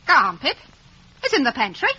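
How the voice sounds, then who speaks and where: Scolding housewife, piercing high voice, wide swoops, vintage radio drama. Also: female voice, sing-song, a little fast, sharp tone, breathy edge, exaggerated voice, low-quality recording. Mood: bossy, fawning. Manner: bossy, shrill. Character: sharp-tongued, bossy village wife. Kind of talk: 1950s radio drama acting.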